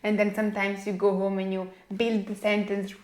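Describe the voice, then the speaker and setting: A woman's voice: mid-range voice, level tone, fairly quick, a little mellow. A young woman from Italy with an Italian accent, chatting casually as a podcast guest. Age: young adult. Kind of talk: casual chat. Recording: roomy, echoey sound.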